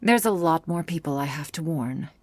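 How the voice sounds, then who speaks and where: A woman plays a stern female vampire bearing warnings in an audio roleplay. Her voice is lowish and husky, and she speaks formally and urgently, as one grave about a threat to her kind. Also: lively intonation, normal speed, no room sound.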